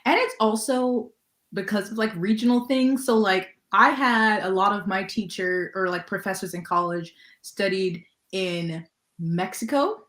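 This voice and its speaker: A woman's voice: medium pitch, a little slow, a bit bright. A young adult woman co-hosts a casual chat. She rambles from one thought to the next, fills her talk with "like" and laughs often. Her voice echoes in the room.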